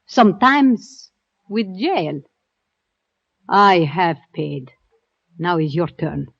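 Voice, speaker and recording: Slow-spoken defiant woman, muffled. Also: lowish voice, sing-song, gravelly, breathy edge, full character voice. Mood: bitter, weary. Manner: declamatory, scornful. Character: sullen, defiant, world-weary woman of the underworld. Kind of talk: radio drama acting. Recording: dull, lo-fi old radio recording.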